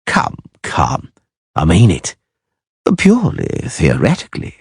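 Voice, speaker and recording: A man voicing a calm, coldly menacing male minister who speaks quietly and evenly. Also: low voice, no echo, clean studio sound, full character voice. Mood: cool, veiled. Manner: quiet, measured, smooth menace.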